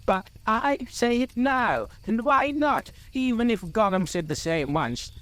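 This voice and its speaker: A man's voice: high-pitched, lively intonation, a little slow, a bit bright, pitched above the performer's own voice. A man voicing a stubborn, suspicious hobbit in a storybook, with a stammering, defensive, insistent manner. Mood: angry, possessive. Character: stubborn, suspicious hobbit clinging to his treasure.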